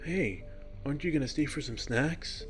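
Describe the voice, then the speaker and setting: A man's voice: a little high, lively intonation, moderate pace, faint music behind, voice pushed up, natural voice. A man voicing a casual, friendly young male sidekick creature in a story, chatty and informal.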